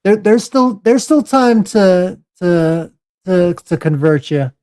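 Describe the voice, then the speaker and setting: A man in his forties hosting a podcast, talking casually with lots of halting restarts. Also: sits high, animated, a little mellow, clean tone. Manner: halting, many fillers and restarts. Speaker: podcast host. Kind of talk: casual chat.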